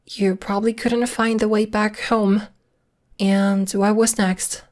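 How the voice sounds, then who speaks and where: A woman playing a secretive, polite and protective young werewolf in an ASMR roleplay, speaking in a measured, hesitant, matter-of-fact way. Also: medium pitch, flat delivery, a little mellow, lightly acted. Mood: calm, questioning.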